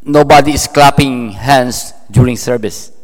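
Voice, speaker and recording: A man with a Filipino accent delivers a sermon at an unhurried, deliberate pace. He speaks in a halting way, often restarting phrases and leaning on fillers. His voice carries a long reverb, as from a church hall. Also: mid-range voice. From Philippines. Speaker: pastor.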